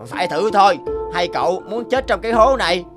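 A man's voice: high voice, expressive, fairly quick. An assured cartoon billy goat acted by a man, determined and persuasive. He speaks in an encouraging manner, with prominent music underneath. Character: confident, persuasive, determined golden male goat. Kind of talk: animated fable acting.

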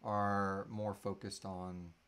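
A man in his thirties hosting a casual live question-and-answer chat, often halting and trailing off mid-thought. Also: low voice, flat delivery, fairly bright tone, clear, resonant tone. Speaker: host answering audience questions. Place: small indoor room.

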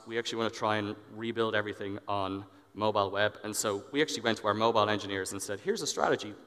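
Male conference speaker, middle-aged, crisp forward tone, big reverberant stage sound. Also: lowish voice, pushed and bright. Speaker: engineering director, conference speaker. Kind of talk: conference presentation. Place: large indoor stage in a conference hall. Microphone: headset. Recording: long hall reverb from stage PA.

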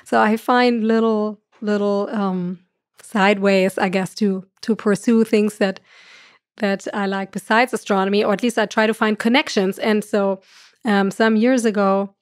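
A middle-aged woman from Germany with a German accent, speaking earnestly as a podcast guest on a boom mic. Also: steady tone, a little slow, no room sound, studio quality. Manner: earnest, gestures a lot, laughs often. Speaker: guest astrophysicist. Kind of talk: podcast conversation. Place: room with curtains. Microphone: studio mic on boom arm.

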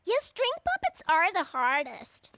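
Super high little girl cartoon bunny, eager, earnest, music behind. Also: huge pitch swings, measured pace, exaggerated voice. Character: eager, helpful young girl bunny, best friend. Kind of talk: children's cartoon acting.

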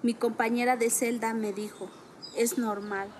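A woman whose first language is Tzotzil, reading her own written account aloud in a measured, reflective way. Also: on the high side, flat delivery, very noisy.

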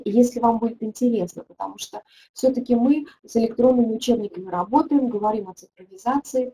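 A woman's voice: on the high side, warm tone, rough recording. A middle-aged woman, a teaching methodologist, leading a webinar and answering viewer questions, thinking aloud with frequent hesitations.

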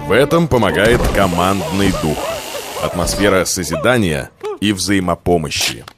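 A male narrator delivers a scripted promotional read for a company. His intonation moves widely and expressively while the phrasing stays deliberate. Music plays clearly beneath his voice. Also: deep voice, expressive. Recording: music under the voice.

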